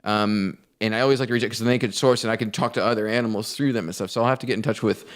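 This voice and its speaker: A man's voice: fairly low pitch, fairly even intonation, racing. A man hosting an improvised comedy interview, talking in a loose, rambling way. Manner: loose, rambling, many fillers and restarts. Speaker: podcast host.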